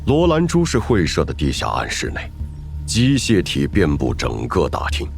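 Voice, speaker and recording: 一名男旁白，以戏剧化的方式讲述动画故事。. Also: male voice, a bass voice, wildly swooping pitch, normal speed, vocal fry, a little hiss or hum. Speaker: narrator. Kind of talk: dramatic scripted narration of an animated story.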